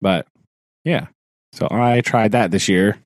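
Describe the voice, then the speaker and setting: A man co-hosting a podcast in casual chat, rambling with many fillers, laughing often and clearing his throat now and then. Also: lowish voice, takes it slowly, no room sound, studio quality. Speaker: podcast co-host, teacher.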